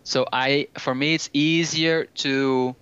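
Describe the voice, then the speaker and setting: A man's voice: mid-range voice, steady tone, slow, a bit bright, smooth, no room sound, lo-fi sound. A man talking as a guest language teacher in a casual podcast conversation. He explains his views at length and thinks aloud.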